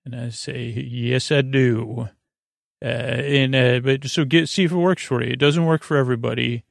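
A male podcast host with a very creaky, fry-laden voice at a middling pitch. He wanders through a bedtime monologue meant to send people to sleep, stopping and restarting with plenty of ums. The sound is close and dry, with no room around it. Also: steady tone, no room sound. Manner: meandering, halting with many ums and repeated words. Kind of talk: rambling bedtime monologue to lull listeners to sleep.

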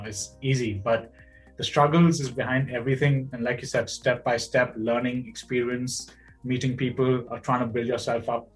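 A male podcast host asks long, winding questions in a conversational tone, often checking in with "right?". His pitch is ordinary, and soft music hums faintly behind him. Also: low-quality recording. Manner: rambling questions, tags with "right?" and "you know". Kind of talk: casual interview conversation.

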